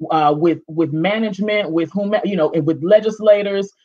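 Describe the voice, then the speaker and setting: A woman's voice: mid-range voice, moderate pace. Big-voiced woman on a dull call. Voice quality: big expressive voice. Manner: emphatic, direct, many fillers and restarts. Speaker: panelist. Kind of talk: panel discussion on video call. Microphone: video call. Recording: dull lo-fi video call audio.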